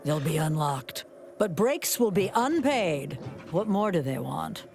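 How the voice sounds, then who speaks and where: A woman's voice: low-pitched, sing-song, a little slow, vocal fry, darkish tone, soft background music, heavily acted. A woman voicing a greedy, penny-pinching corporate boss in a video game, pompous, theatrical and articulate. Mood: grudging.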